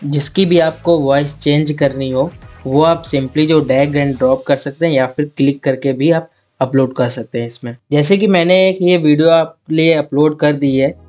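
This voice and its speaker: A man, narrating a software tutorial as a channel host in an instructional way. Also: on the high side, fairly even intonation, normal speed. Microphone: lapel mic. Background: faint background music.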